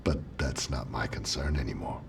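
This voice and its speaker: A man's voice: rumbling low, normal speed, creaky, distant mic, faint music behind. A man playing a grave, reflective military commander in an audio drama, speaking in solemn, formal phrases. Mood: solemn resolve. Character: grave, reflective male military commander turned gentle wanderer. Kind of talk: scripted fan-fiction audio drama.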